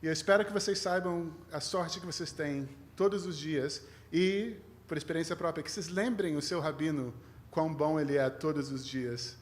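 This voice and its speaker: Higher-voiced Brazilian man preaching conversationally. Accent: Brazilian with a mixed foreign tinge. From Rio de Janeiro, Brazil. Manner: conversational, halting, self-deprecating. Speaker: rabbi, guest preacher. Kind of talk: sermon with informal humour.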